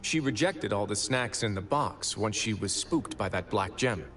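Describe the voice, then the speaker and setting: A man playing a composed, stern and protective prison warden in an anime game, speaking in a measured, deliberate way. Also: on the low side, expressive, a bit bright. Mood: earnest.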